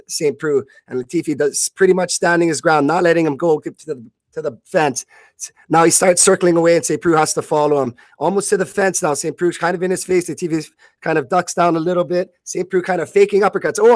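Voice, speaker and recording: A man calls a fight live in a fairly high male voice, close and dry on the recording. He narrates blow by blow as a radio commentator, haltingly, often repeating words and fixing his phrases as he goes. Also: no echo. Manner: halting, repeats and corrects himself mid-phrase.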